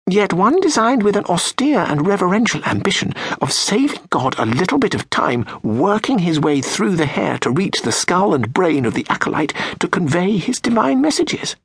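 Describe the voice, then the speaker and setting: Sardonic British man, husky and lively. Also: moderate pace, hardly any pauses, no echo. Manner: sardonic, profane deadpan delivery; lively, wide-ranging pitch. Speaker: audiobook narrator. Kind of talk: scripted comic narration. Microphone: close studio mic.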